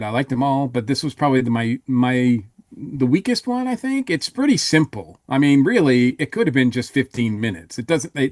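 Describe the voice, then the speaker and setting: Halting man in a dry, close recording. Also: medium pitch, dry recording. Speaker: podcast panelist. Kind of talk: casual review discussion.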